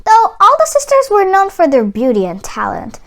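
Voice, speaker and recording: A woman reading a children's storybook aloud in a careful, expressive storytelling delivery. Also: very high voice, normal speed. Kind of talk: expressive scripted storybook narration.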